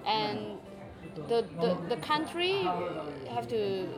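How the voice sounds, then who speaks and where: A woman with a Malaysian Chinese accent, her first language Chinese, speaking halting English in a casual interview and searching for words. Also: on the high side, sing-song. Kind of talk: casual conversation. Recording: loud music behind the talk.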